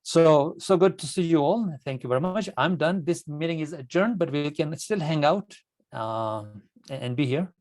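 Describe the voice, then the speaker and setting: A man from Kenya, a native Swahili speaker, addressing club members informally in a halting, repetitive, emphatic manner. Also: a little high, lively intonation, darkish tone, no echo. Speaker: club leader addressing members at an online meeting. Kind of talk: informal motivational meeting talk.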